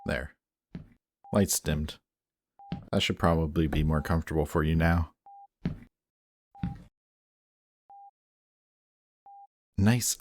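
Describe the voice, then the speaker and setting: A man plays a villain with a low-pitched voice in an intimate audio roleplay. He is dry and sarcastic, teasing casually while keeping his guard up and caring only grudgingly. Some noise sits behind the voice. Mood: grudging, brusque.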